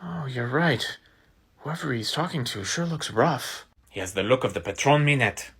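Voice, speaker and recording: Someone voicing a snarky bossy young buddy, fast talker, lively voice. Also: mid-range voice, husky, voice pushed down, full character voice. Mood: teasing. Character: wry young man friend, brisk and teasing.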